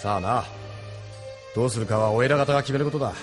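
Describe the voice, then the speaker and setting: A man's voice: mid-range voice, fast, natural voice. A man playing an easygoing, seasoned spaceship captain in a Japanese audio drama, speaking casually and plainly. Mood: grave, resigned. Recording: long reverb, faint music behind.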